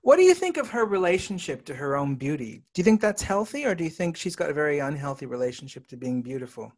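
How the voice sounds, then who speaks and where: A man's voice: a little high, sing-song, fast, darkish tone. A man interviewing an actress, asking probing questions about her character. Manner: brief encouraging backchannels, probing questions. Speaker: writer-director interviewing.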